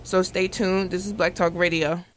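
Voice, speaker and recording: A young woman hosting a casual talk radio show, easygoing and relaxed as she chats and introduces a guest. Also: fairly low pitch, moderate pace, background noise. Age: young adult. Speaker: host. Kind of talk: casual chat and interview hosting.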